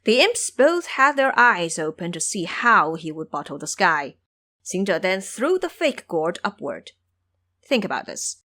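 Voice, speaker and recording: A woman narrates a fantasy story with very lively, expressive intonation. Her delivery is scripted and dramatic, in the manner of an audio storybook. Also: medium pitch.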